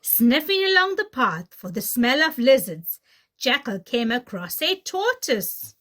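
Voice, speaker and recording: A woman teacher reading a children's story aloud in an animated way, addressing young children. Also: high-pitched, a little slow. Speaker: pre-primary teacher, storyteller.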